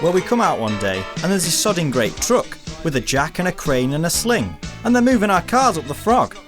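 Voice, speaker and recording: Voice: medium pitch, big pitch swings, fairly quick, over music, lightly acted. A performer playing a cheeky working-class English storyteller, chatty and colloquial, acting out neighbours' exchanges in a radio play. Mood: indignant, chatty. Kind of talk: scripted storytelling monologue in a stage-style radio play.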